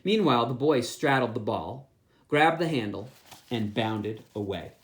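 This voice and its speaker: A pastor reading a children's picture book aloud, with playful asides. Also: male voice, mid-range voice, measured pace. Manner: animated storytelling with asides.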